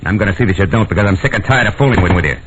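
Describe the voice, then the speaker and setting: A man's voice: on the low side, lively intonation, rapid-fire, fairly bright tone, slightly rough. A man with an American accent playing a tough, world-weary private detective in a 1940s radio drama, brusque and wisecracking, both in scenes and narrating. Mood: hard, threatening. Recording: dull old radio recording with music.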